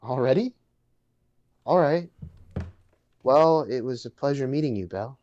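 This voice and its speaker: A man's voice: mid-range voice, lively intonation, measured pace, darkish tone, dull audio, natural voice. A man playing an awkward, chatty young man in a casual dramatic reading, hesitant and stammering. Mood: friendly, hesitant. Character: awkward, chatty young man named Bartram on a blind date.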